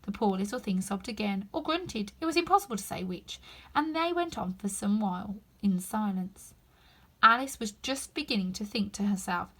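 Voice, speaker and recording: Woman storyteller, children's narration, very lively intonation, breathy husky tone, emphatic phrasing. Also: mid-range voice. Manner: animated, emphatic. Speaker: storybook reader.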